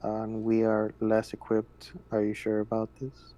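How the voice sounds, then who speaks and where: A man talking as a player in a tabletop roleplaying game, in a measured way. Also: on the low side, completely flat delivery, dark tone, no room sound.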